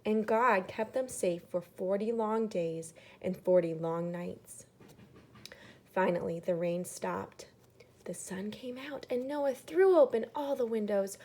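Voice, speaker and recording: A woman reads a children's story aloud in an expressive, dramatic way with hushed emphasis. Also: mid-range voice, slow. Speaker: storybook reader.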